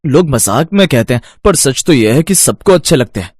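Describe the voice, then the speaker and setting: A man voicing an affectionate young husband in a cartoon story, gentle and reassuring. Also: mid-range voice, very animated, fairly quick, breathy edge, no room sound, studio quality.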